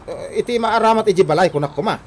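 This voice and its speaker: An actor playing a cheeky, whiny, hard-drinking grown son in a Filipino radio comedy drama, with a Filipino accent. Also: male voice, sits high, fast, natural voice. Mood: teasing, whiny. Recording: noisy background.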